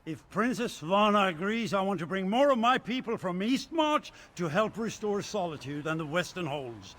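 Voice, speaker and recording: An actor playing an earnest, proud eastern Nord leader who speaks in a reflective way in a video game cutscene. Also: male voice, sits high, very animated, normal speed, a bit bright.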